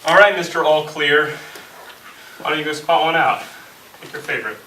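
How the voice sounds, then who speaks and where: A man's voice: fairly high pitch, normal speed. A man playing a hot-headed, suspicious, sarcastic survivor in an amateur comic zombie stage play. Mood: sarcastic, dismissive. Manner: loud, indignant, sarcastic. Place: indoor stage performance, recorded from afar. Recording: distant, roomy live stage recording, faint noise.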